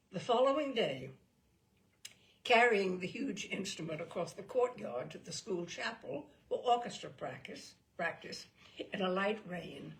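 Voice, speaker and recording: A woman reading a literary story aloud as an expressive storyteller. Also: mid-range voice.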